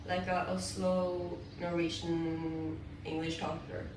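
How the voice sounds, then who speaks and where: A woman's voice: on the low side, flat delivery, slow pace. A woman chatting with a friend in a teasing, hesitant way. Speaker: vlogger's friend. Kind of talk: casual chat. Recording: distant echoey room with noise.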